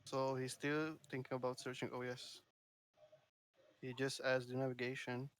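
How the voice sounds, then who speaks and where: A man co-casting a card game match on stream, calm and matter-of-fact. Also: medium pitch, moderate pace. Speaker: co-caster. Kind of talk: esports game commentary.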